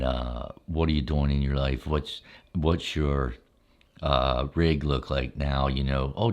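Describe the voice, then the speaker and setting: An older man reminiscing in a relaxed, casual ASMR style, speaking in unhurried, halting phrases with folksy asides. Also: very deep voice. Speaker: ASMR storyteller.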